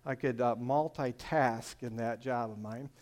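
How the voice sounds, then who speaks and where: An older man with a Michigan accent shares his testimony at a middle pitch. He tells it earnestly, like a story, pausing often on "uh" and "um" as he goes. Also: darkish tone. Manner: earnest, storytelling, with frequent fillers. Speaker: church testimony speaker. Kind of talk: personal testimony.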